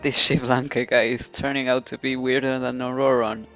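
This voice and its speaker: A man's voice: medium pitch, flat delivery, moderate pace. A man streaming a video game, giving casual reaction commentary as he plays. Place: indoors at a computer. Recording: muffled mic over soft game music.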